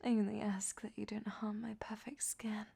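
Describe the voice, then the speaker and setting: Breathy, whispering flirtatious vampiress played by a woman. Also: medium pitch, level tone, mellow. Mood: coy, teasing. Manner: slow, teasing, coy. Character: flirtatious, vain, captive female vampire, sly and teasing. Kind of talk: intimate whispered roleplay audio drama.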